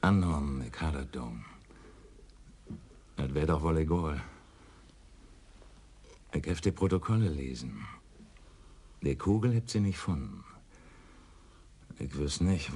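A husky Low policeman. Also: measured pace, pauses often, mellow, exaggerated voice. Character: troubled rural police adjutant, middle-aged man. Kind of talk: radio drama acting.

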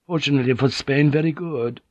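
A man reading an audiobook and voicing a priest with an icy, measured manner. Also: mid-range voice, little pitch movement, no room sound, voice pushed up, close to an everyday voice. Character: cool, icy male priest.

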